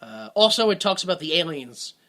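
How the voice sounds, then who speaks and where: A man's voice: fairly high pitch, big pitch swings, brisk, pushed and bright. A man co-hosting a casual movie podcast, rambling with sarcastic asides. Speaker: podcast co-host.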